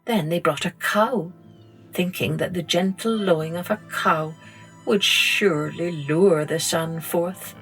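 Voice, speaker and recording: Slow, gentle woman storyteller. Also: lowish voice, loud music behind the voice. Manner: gentle, slow and expressive read-aloud. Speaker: storyteller and podcast host. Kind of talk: children's story narration.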